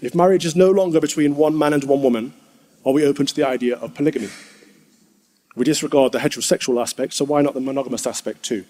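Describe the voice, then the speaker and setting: A man, a clergyman, giving a formal, measured speech to an assembly. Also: mid-range voice, warm tone. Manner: measured, firm oratory.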